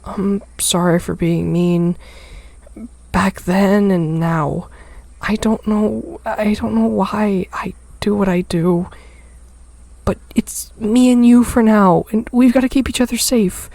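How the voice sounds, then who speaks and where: A woman's voice: medium pitch, mellow, dry recording, voice pushed up. A woman voicing an older sister who is curt and abrupt, then earnest and guilty.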